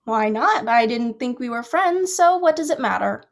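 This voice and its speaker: A woman's voice: on the high side, lively intonation, normal speed. A young woman in a staged play reading, acting a guarded, lovesick girl with a hesitant, confessional delivery. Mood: subdued.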